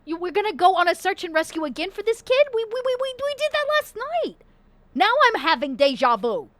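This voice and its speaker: A woman's voice: very high-pitched, very animated, brisk, fairly bright tone, no room sound, heavily acted. A woman playing a bossy, exasperated undead bookkeeper in a tabletop game, sassy and emphatic, often repeating herself. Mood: exasperated, scolding.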